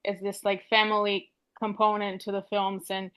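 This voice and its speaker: A woman's voice: medium pitch, monotone, moderate pace, a bit bright, clean tone. A woman from Bulgaria interviewing a filmmaker in a friendly, chatty way with many "like"s. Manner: friendly, lots of "like" and "you know". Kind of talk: casual interview talk.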